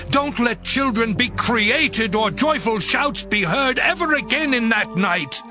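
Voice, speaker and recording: A man's voice: high-pitched, exaggerated voice. An actor playing a devout, suffering man crying out to God with impassioned, mournful delivery in a dramatized audio Bible.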